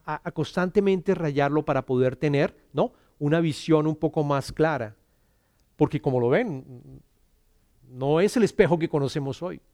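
A middle-aged man from Colombia, preaching an earnest sermon, often repeating words as he starts and dropping to a soft hush on scripture. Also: on the high side, no echo, studio quality. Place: church.

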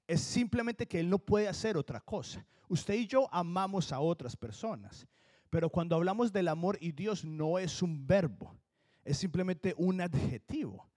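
A man from Colombia with a Colombian accent, preaching a sermon in an earnest, emphatic way and slowing down to stress key words. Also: sits high, animated, mellow. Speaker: pastor.

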